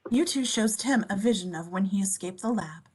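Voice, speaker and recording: A woman reads stage directions as scripted narration. Her voice sits at a medium pitch. She describes the action between the actors' lines in a table read. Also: a little fast, a little mellow, husky, rough recording. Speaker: scene description reader.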